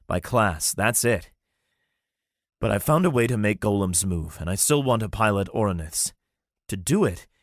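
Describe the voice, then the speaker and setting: A man's voice: lowish voice, dry recording, studio quality, close to an everyday voice. A man voicing an earnest young alchemist. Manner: earnest, explanatory.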